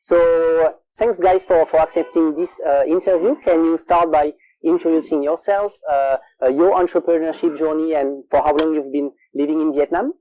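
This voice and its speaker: Man, French accent, host, mellow tone, dry sound, hesitant with fillers. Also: a little high, fairly even intonation, moderate pace, few pauses, no echo, dull audio. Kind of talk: casual interview. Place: coworking space.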